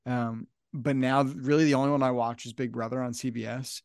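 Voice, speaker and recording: A man's voice: mid-range voice, steady tone, fairly quick, creaky, dry recording, clean studio sound. A young man hosting a casual podcast interview, enthusiastic and repeating his phrases as he talks. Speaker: podcast host.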